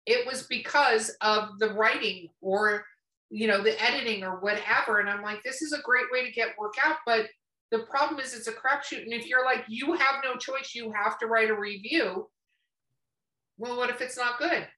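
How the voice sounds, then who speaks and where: A woman's voice: a little high, normal speed, pushed and bright. A woman hosting a casual interview, informal and blunt, recorded in a roomy space away from the mic. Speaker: podcast host, interviewer. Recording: roomy echo, distant from mic.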